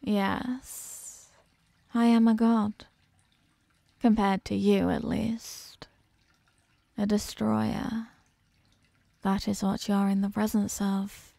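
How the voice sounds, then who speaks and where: A woman playing an ancient captive eldritch goddess in a horror ASMR roleplay, haughty, hungry and menacing, speaking in an imperious, condescending and deliberate way. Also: mid-range voice, flat delivery, vocal fry, mellow, no room sound. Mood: menacing, tempted. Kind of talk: slow horror audio roleplay monologue, ASMR.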